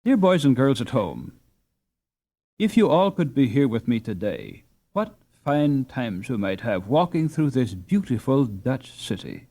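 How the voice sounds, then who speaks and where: Expressive man narrating a storybook. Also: medium pitch, measured pace. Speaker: audiobook narrator.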